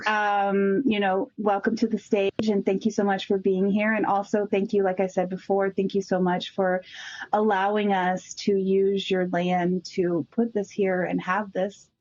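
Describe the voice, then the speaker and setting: Woman host, mid pitch, muffled lo-fi voice-chat sound, rambling and thankful. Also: flat delivery, a little slow, a little mellow. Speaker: panel host. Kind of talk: casual panel hosting.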